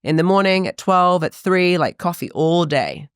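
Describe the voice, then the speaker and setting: A woman in her thirties, a fitness coach, chatting in a podcast interview, animated and quick with asides. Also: fairly low pitch, clean tone, no echo, clean studio sound.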